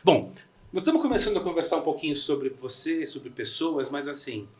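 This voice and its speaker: A man's voice: a little high, animated, a little fast. A middle-aged man with a Brazilian Portuguese accent hosting a podcast interview, effusive and friendly, with frequent restarts. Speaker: podcast host.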